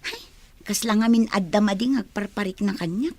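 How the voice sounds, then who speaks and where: A woman's voice: mid-range voice, fairly quick, a little mellow, no echo, close to an everyday voice. A woman playing a suspicious, meddling friend who hints carefully in an Ilocano radio drama. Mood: probing, suspicious.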